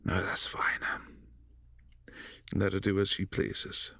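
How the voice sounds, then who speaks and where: A man voicing a brooding, possessive adult vampire prince in a boyfriend ASMR audio drama, speaking softly and often in a hushed whisper. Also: deep voice, little pitch movement, slow pace, creaky, no room sound, exaggerated voice. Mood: calm, concerned.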